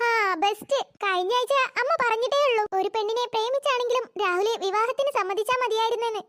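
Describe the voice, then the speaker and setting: A performer voicing a teasing, sassy young woman in a cartoon, with a pitched-up voice. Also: fast talker, fairly bright tone, no echo, clean studio sound. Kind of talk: cartoon voice acting.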